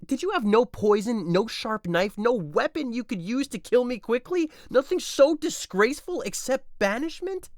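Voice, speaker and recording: A bitter, lovesick young man played by a male actor pours out despair in a modern Shakespeare audio play. The delivery is melodramatic, swinging widely in pitch, with a dry close sound. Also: expressive, moderate pace, hardly any pauses, dry recording. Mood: anguished, indignant. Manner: melodramatic, anguished, declamatory.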